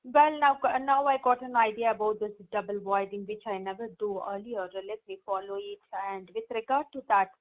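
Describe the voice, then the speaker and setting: A woman's voice: mid-range voice, fairly even intonation, moderate pace, few pauses, natural voice. A woman role-playing an independent 88-year-old patient in an exam practice, speaking hesitantly with many fillers. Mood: curious. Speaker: role-play partner. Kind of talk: exam role-play over the phone. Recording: tinny phone line.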